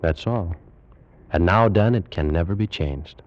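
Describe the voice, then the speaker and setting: An actor playing a proud, fervent ancient Egyptian priest and alchemist in a grave, theatrical 1940s radio drama style. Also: male voice, low voice, measured pace. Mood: solemn, assured. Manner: grave, formal, theatrical delivery. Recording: muffled old-time radio recording.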